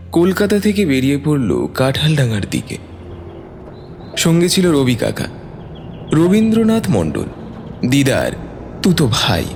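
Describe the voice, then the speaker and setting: A man reading a scripted story as dramatic narration. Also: on the high side. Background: soft background music.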